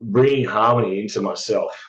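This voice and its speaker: A man with a hoarse, raspy voice, talking in a halting, reflective way as a podcast guest, full of fillers and restarts. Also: fairly low pitch, muffled recording. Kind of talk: casual reflective chat.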